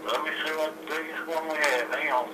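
A man's voice: fairly high pitch, fairly even intonation, quick pace, a crowd in the background. A man working in a store, taking a customer's phone call in a curt, matter-of-fact way. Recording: distant noisy speakerphone line.

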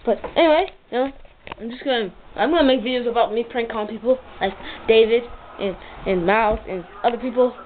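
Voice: mid-range voice, fairly quick. A young home vlogger rambles casually into a handheld camera. The sound is thin and tinny, with faint noise behind the voice. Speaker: amateur video maker. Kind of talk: casual rambling vlog chat. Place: living room at home. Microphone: handheld camera mic.

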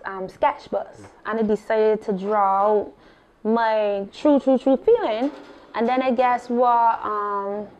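A young woman from Barbados with a Barbadian accent, speaking into a handheld mic as the guest at a live artist talk in a small gallery. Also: medium pitch. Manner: animated, expressive storytelling.

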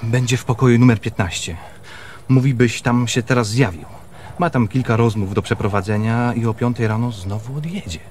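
An actor playing an earnest, eager messenger in a radio drama, giving a careful, detailed report. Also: male voice, mid-range voice, normal speed, close to an everyday voice. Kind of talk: Polish radio theatre acting.

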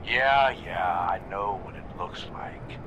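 An actor voicing a gruff, scheming raider in a video game, blunt, pushy and streetwise. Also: male voice, slow pace, highs cut off. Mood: insistent. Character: gruff, scheming male raider coaching a captive. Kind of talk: video game character dialogue. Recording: game audio with low rumbling noise.

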